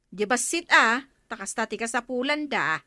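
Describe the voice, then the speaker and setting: A woman's voice: on the high side, lively intonation, moderate pace, sharp tone, dry recording, clean studio sound. A woman playing a matter-of-fact village official with an Ilocano accent in a radio comedy drama. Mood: explaining.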